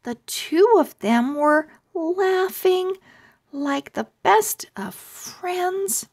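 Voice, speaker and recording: A woman reads a children's storybook aloud in a high, very animated voice. She hosts the read-along and takes it extremely slowly, phrasing each word with care. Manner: deliberate, expressive, word-by-word phrasing. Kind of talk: slow scripted storybook narration for children.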